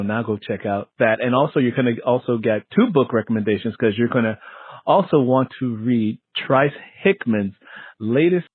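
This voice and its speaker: A man's voice: medium pitch, breathy edge, no echo. A man hosting an interview in a conversational style, often repeating and restating his phrases. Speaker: interview host. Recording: lo-fi phone-like radio sound, muffled.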